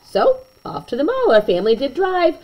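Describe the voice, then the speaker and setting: Lively woman reading a bedtime story. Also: high-pitched, dull audio. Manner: animated, slow and deliberate storytelling, chatting to young listeners. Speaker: storyteller reading a picture book aloud to children. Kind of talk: expressive bedtime story read-aloud with chatty asides.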